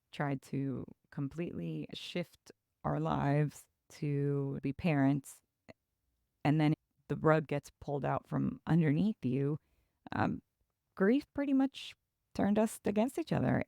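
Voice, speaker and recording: A podcast host from Ecuador, a woman telling her own story in a reflective, halting way with pauses and restarts. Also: deep voice, vocal fry, mellow, no room sound. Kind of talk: personal storytelling.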